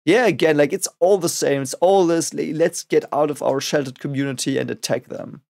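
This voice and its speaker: Raspy man musing over lyrics. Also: a little high, steady tone, darkish tone. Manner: musing, halting, repeats words often. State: voice raspy from a cold. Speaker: reaction video host. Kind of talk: casual reaction and lyric analysis.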